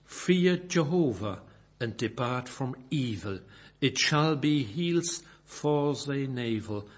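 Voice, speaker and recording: A man from Belgium, a native French speaker with a Belgian French accent, preaching and reading scripture in halting English, searching for words and correcting himself. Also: mid-range voice, lively intonation, husky. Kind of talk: informal Bible sermon with scripture reading.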